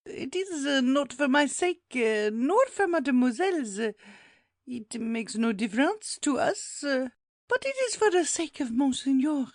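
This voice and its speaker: A woman's voice: high-pitched, sing-song, dry recording, clean studio sound, heavily acted. A woman playing a fretful, exclamatory elderly housekeeper in a dramatised classic audiobook. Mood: plaintive. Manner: exclamatory, gasping, drawn-out lamenting.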